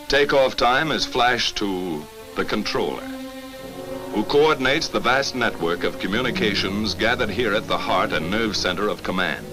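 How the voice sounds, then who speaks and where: A man's voice: lowish voice, low-quality recording. An American man reading scripted 1940s wartime documentary narration in a clipped, declarative newsreel manner, on a vintage film soundtrack.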